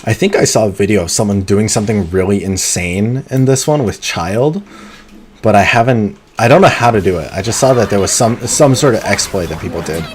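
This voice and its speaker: A man streaming a video game live, chatting casually and muttering to himself between bursts of excitement. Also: fairly low pitch, brisk, slight background noise. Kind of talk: live gameplay commentary.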